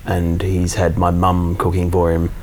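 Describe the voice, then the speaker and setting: Low-voiced man in casual chat. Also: a little hiss or hum. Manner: relaxed, halting, recounts at length with fillers.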